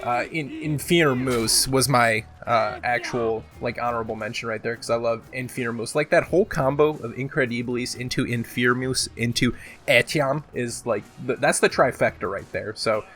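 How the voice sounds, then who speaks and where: A man's voice: medium pitch, normal speed. A man with a sore throat giving casual, rambling, unscripted countdown commentary with some swearing and slang. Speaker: video host, gaming commentator. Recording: loud music behind the voice. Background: music.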